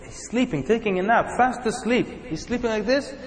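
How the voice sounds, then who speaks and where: A man preaches to a gathered audience, his voice sitting a little high for a man. He brings his points to life by acting out small scenes. His voice rings around a large hall with a long, trailing echo. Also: moderate pace. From Sudan. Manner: animated, acts out examples in little voices. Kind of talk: sermon to a live audience. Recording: echoey hall with long reverb.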